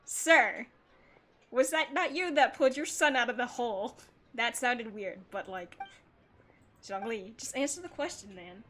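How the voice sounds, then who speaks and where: A woman's voice: on the high side, expressive, measured pace, a bit bright, a little hiss or hum. A woman hosting a casual gaming livestream, chatting playfully as she comments on the game and reads bits of dialogue aloud. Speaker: livestream host.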